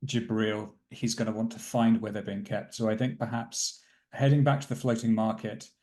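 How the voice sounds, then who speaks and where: A man playing a tabletop roleplaying game, thinking aloud as he narrates what his character does in a thoughtful, deliberate way. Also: fairly low pitch, little pitch movement, dark tone.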